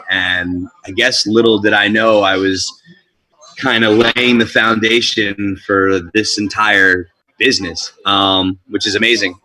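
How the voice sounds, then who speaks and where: Husky, bright-voiced energetic man. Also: fairly low pitch, poor audio. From born in Colombia, raised in New Jersey. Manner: energetic, talkative, street-casual. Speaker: guest DJ and MC. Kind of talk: casual interview storytelling.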